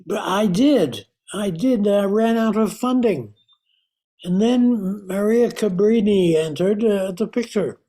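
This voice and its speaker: An anxious, mild-mannered scientist of old-time radio drama , played by an older man. He speaks slowly in a mellow tone, hesitant and politely apologetic. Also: high-pitched, rough recording. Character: anxious, apologetic male scientist, husband and uncle.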